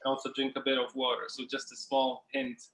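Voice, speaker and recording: A man's voice: mid-range voice, completely flat delivery, fairly quick, fairly bright tone, echoey room, low-quality recording. A man leading a group workshop, giving casual instructions in a loose, informal way, as if thinking aloud. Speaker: workshop facilitator. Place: indoors, on a group video call.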